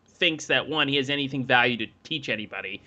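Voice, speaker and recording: A man's voice: medium pitch, fast, creaky, sharp tone. A man swearing freely and sounding sarcastic while co-hosting a stream reaction. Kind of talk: casual banter. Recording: dull lo-fi mic.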